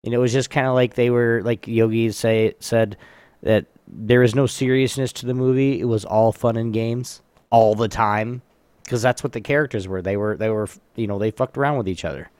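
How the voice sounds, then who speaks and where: A man's voice: mid-range voice, steady tone, moderate pace, darkish tone, smooth, no room sound. A man hosts a casual trivia game with an ordinary mid-range voice. He talks informally, with some slang and hesitant phrasing. The recording is close and dry. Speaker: host of a trivia game on a live show. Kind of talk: casual chat, reading trivia aloud.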